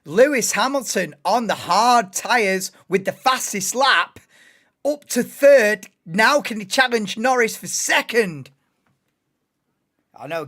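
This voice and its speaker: Woman, hoarse, live race commentary, excitable, unhurried delivery, home mic. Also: medium pitch. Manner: excitable, bursts into shouts and laughter. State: losing voice, hoarse.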